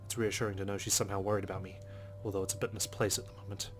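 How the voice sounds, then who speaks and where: A man's voice: deep voice, little pitch movement, racing. A man reading a visual novel's narration aloud as a let's play host. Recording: game music behind the voice. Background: game music.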